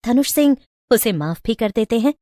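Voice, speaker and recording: A woman's voice: fairly high pitch, lively intonation, moderate pace, dark tone, no room sound, studio quality. A woman storyteller reading a scripted moral tale in a dramatic narrating style.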